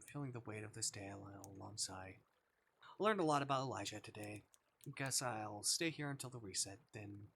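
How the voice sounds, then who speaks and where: Bright-voiced man reading a game aloud. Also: medium pitch, a little hiss or hum. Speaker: live streamer reading a visual novel aloud.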